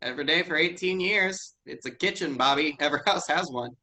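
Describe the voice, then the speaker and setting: A man's voice: a little high, a little fast, bright, forceful tone. A man playing Tim, a touchy, defensive young man in a staged play reading. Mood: matter-of-fact. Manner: short, prickly replies.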